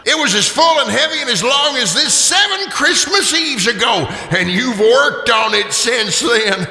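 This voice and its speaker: A man playing a tormented ghost of a dead miser's partner, wailing and declaiming slowly in a radio drama. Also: extremely high pitch, expressive, vocal fry, sharp tone, soft background music, pitched above the performer's own voice, heavily acted. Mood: mournful. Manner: slow, drawn-out, wailing declamation with eerie cackles. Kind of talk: radio theater acting. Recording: cavernous hall reverb.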